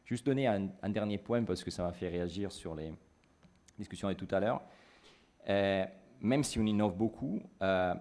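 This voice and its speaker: Low-voiced Italian-accented man on a panel. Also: normal speed, darkish tone. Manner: thoughtful, plain-spoken, self-correcting. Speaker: conference panelist, consultant. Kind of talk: conference panel discussion. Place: university lecture hall.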